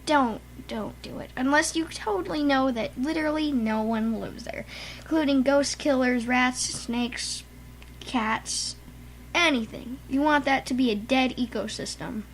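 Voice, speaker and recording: High-voiced woman rambling casually. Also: a little hiss or hum, rough recording. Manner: rambling, halting, with frequent restarts. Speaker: podcast co-host. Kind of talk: casual chat.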